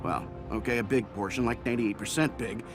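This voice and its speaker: Lower-pitched man in a quiet aside. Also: fairly quick. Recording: background music under voice.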